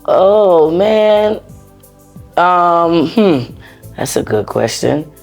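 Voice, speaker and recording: Animated American woman telling her story. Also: on the low side, extremely slow, music in the background. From United States. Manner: animated, repeats words, laughs often. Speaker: interview guest, former soldier. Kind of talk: casual conversational storytelling.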